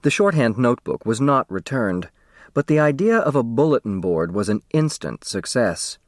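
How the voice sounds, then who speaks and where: A man reads a children's novel in a mid-range voice with very lively intonation. The storytelling is expressive and deliberate. He is close to a studio microphone, with a dry sound. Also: mid-range voice, no echo. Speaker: audiobook narrator. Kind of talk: scripted children's novel narration.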